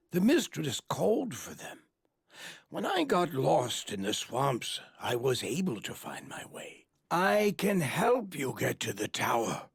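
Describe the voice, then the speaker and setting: An actor playing a remorseful, mysterious helper in a game, with a husky, breathy, creaky voice and halting, urgent delivery. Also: male voice, a little high, dry recording, clean studio sound, exaggerated voice. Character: remorseful mysterious man offering help.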